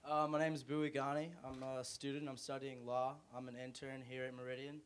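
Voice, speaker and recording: A man who is a law student and intern introduces himself with a joke in an unhurried way. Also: mid-range voice, level tone, slight background noise. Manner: joking, unhurried.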